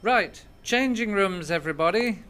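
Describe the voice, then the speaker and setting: A man's voice: high-pitched, lively intonation, moderate pace, sharp tone, slight background noise. A man playing a pompous, dignified, stiffly superior floorwalker, pedantic and formal, in an amateur radio comedy. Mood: brisk.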